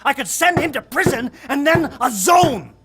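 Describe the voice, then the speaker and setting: An actor playing a resentful security officer turned blackmailer in an audio drama, petulant, menacing and volatile. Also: male voice, very high voice, expressive, moderate pace, noisy background. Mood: gloating fury.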